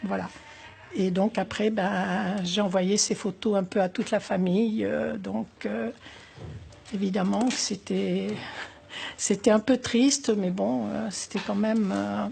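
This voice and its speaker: An older woman from Algeria, recounting memories in an interview, pausing often with fillers and speaking in a reflective, wistful way. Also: medium pitch, steady tone, creaky, dark tone, husky. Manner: hesitant, many pauses and fillers. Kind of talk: oral history interview.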